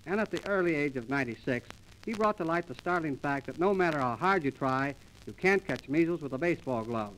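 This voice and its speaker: A man's voice: on the high side, a bit bright, a little hiss or hum, low-quality recording. A man presenting a scripted 1930s radio comedy show in a formal, mock-grand manner, on an old transcription disc. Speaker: comedy announcer and master of ceremonies.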